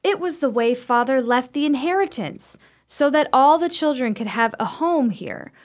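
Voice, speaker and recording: A woman's voice: sits high, lively intonation, moderate pace. A woman playing a composed married sister in an old-fashioned gothic radio drama, speaking with formal, genteel diction. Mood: earnest, troubled.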